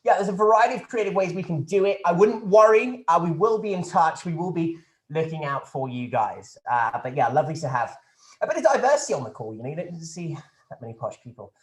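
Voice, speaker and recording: A man's voice: on the high side, very animated, brisk, roomy sound. A man playing a pompous, flippant posh government minister who rambles and stumbles over a video call. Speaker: politician taking questions. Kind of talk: satirical press conference sketch.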